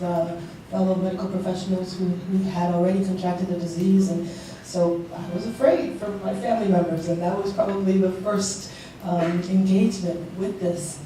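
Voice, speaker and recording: A woman's voice: mid-range voice, dark tone, a little hiss or hum. A woman from Liberia speaking earnestly on a panel to a packed room, with many ums and restarts. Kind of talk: panel remarks. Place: large packed event room. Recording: echoey hall, voice picked up from far off.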